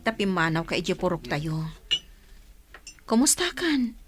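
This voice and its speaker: A woman's voice: fairly low pitch, very animated, measured pace. A woman with an Ilocano accent acting in a radio drama as a frank, concerned elder sister giving advice. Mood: friendly, curious. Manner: frank, advising. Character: frank, concerned older sister of man's former sweetheart.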